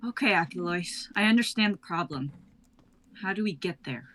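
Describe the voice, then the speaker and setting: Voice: mid-range voice, expressive, normal speed, pushed and bright, a little hiss or hum. A performer reading a fearless sorceress in a live drama reading, cool, cutting and self-assured. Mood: confident. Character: fearless female sorceress, claims to be a god.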